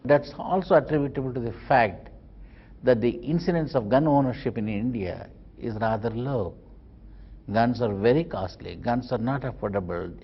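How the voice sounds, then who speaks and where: A man's voice: medium pitch, moderate pace, breathy edge. A man explaining things in an interview in formal, articulate wording. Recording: slightly muffled interview audio.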